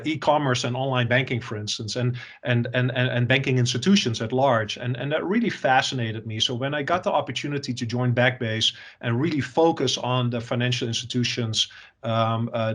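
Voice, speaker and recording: A man from the Netherlands with a Dutch accent, an executive answering interview questions and often pausing with fillers and repeated words. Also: medium pitch, a bit bright. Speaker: software company executive.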